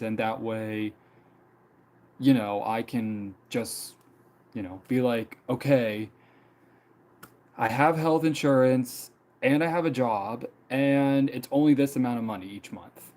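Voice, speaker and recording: Mellow-voiced young man rambling casually. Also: mid-range voice. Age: 20s. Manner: rambling, many fillers and repeats. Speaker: live streamer. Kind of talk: casual personal storytelling.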